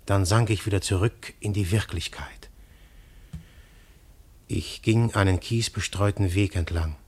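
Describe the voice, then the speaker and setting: Casting: a low, husky-voiced narrator recalling a vision, unhurried, old radio play. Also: male voice. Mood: reflective. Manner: slow, hushed, reflective. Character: male first-person narrator, dreamlike and awed. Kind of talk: 1950s radio drama narration.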